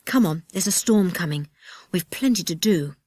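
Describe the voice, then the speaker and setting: A woman's voice: lowish voice, animated, dry recording, studio quality. Woman voicing a bold, bossy young boy leader. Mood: brisk, cheerful. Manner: hushed or brisk commands.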